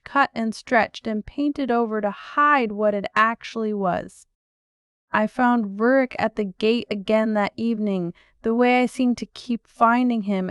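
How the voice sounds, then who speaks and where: A woman narrating a first-person story in a measured, reflective way. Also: on the high side, clean tone, no echo.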